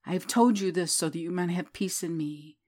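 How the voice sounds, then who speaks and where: A woman leading a guided prayer meditation, reciting scripture in a slow, soothing way. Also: lowish voice, warm tone. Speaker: prayer leader.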